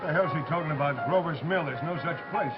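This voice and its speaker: An actor playing an exasperated state police dispatcher, curt and official, in a TV drama. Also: male voice, a little high, quick pace, loud music behind the voice, lightly acted. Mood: puzzled, curt. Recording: muffled, noisy old TV soundtrack.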